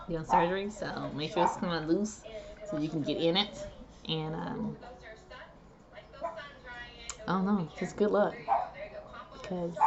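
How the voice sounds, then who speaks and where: A woman's voice: mid-range voice, very noisy. Chatty woman vlogging from home, lo-fi. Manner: chatty, homey, addresses viewers as guys. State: recovering voice after neck surgery. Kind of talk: casual talk to camera.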